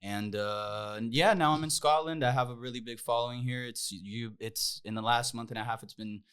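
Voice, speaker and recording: A man's voice: medium pitch, steady tone, moderate pace, a bit bright, clear, resonant tone. A young man from Brazil with a Brazilian accent, chatting as a guest on a podcast in eager bursts with restarts. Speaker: guest pop singer. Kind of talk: casual podcast chat.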